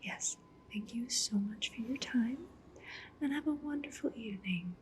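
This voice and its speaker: A woman playing a polite, discreet cyberpunk matchmaker in an ASMR roleplay, speaking gently and professionally in a breathy whisper. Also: fairly high pitch, animated, moderate pace, slight background noise, natural voice, poor audio. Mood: calm, businesslike. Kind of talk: soft-spoken ASMR roleplay.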